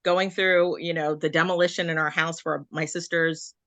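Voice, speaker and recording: A woman guest speaks earnestly in a casual video-call interview. Her voice sits lower than most, yet has a bright, forward tone. The sound is dry and close, and she pauses and restarts often. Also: flat delivery, dry recording. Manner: halting, many fillers and restarts, earnest. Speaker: guest, occupational therapist and Reiki practitioner.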